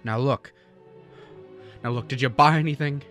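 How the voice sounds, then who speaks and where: A man's voice: medium pitch, fairly even intonation, moderate pace, fairly bright tone, soft background music, natural voice. A man acting in a radio drama as an earnest, kindly but easily frantic small-town businessman, folksy and emphatic, with an American accent. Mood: hurried, worried. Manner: folksy, emphatic, stammering when pressed.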